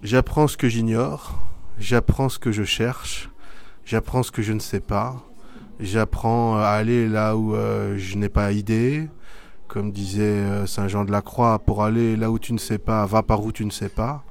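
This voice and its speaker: A man's voice: lowish voice, little pitch movement, a little slow, vocal fry, a little mellow. A middle-aged man from Belgium, a theatre artist and teacher, answering interview questions thoughtfully with many hesitations. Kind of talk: school media interview, reflective answers.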